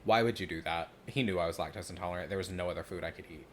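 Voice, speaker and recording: A woman's voice: rapid-fire, background noise. A young woman with a Southern US accent, co-hosting a podcast, telling frank stories bluntly and laughing often. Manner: blunt storyteller, laughs often, big pitch swings. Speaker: podcast co-host. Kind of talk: casual chat.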